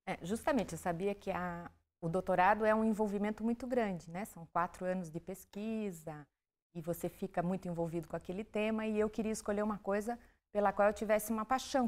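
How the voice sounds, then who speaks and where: A woman who is an author and literature professor, answering interview questions in a calm, measured way. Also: mid-range voice.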